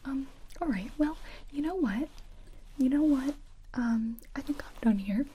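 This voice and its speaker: A deadpan, insulting ENT doctor, whispered by a woman in a comedic ASMR roleplay. The whisper is soft and mellow and sits right on the close mic, with a faint hiss behind it. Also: sits high, a little slow. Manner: deadpan, insulting bedside manner. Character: blunt, rude female ENT doctor.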